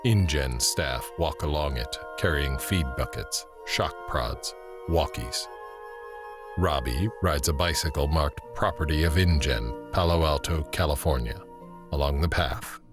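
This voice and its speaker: A man narrating an audio drama in a measured, descriptive, scripted screenplay style. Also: rumbling low, music in the background.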